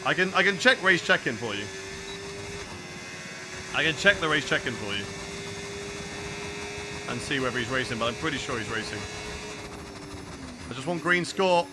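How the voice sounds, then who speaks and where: A man's voice: mid-range voice, lively intonation, moderate pace, pauses often. A young British man streaming a racing game, chatting casually and reacting aloud while answering viewers. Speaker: gaming streamer. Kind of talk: live gameplay commentary and chat replies. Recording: racing game engine noise behind. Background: clear racing game car sounds.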